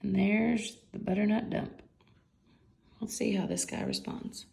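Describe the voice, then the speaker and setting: A woman's voice: medium pitch, steady tone, unhurried, gravelly, warm tone. A woman reading a picture book aloud as a bedtime story, expressively and with affectionate, chatty asides.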